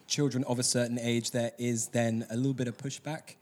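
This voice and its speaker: A man's voice: medium pitch, flat delivery, fairly quick, darkish tone. A man with a mid-range voice running an interview. He asks his questions in a friendly, chatty way. He adds playful asides and stumbles a little as he speaks. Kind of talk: casual interview conversation.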